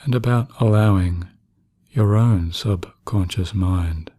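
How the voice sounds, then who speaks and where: A man leads a sleep hypnosis session in a deep, mellow voice. He speaks in a hushed, soothing way and leaves long pauses between phrases. The sound is close and dry. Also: no room sound. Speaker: hypnotherapist narrator. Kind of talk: guided sleep hypnosis narration.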